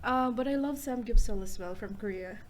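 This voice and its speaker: A woman's voice: on the high side, fairly quick. A young woman, an international student, speaking hesitantly with many fillers in casual chat. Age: young adult.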